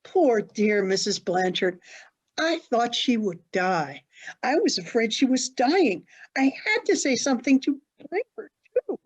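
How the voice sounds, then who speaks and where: A woman playing an elderly, forgetful, genteel mother in a stage play, telling her story in a dramatic, halting way. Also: fairly high pitch, very animated, slightly rough, exaggerated voice. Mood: pitying. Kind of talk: stage acting in an online one-act play. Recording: dull lo-fi online call audio.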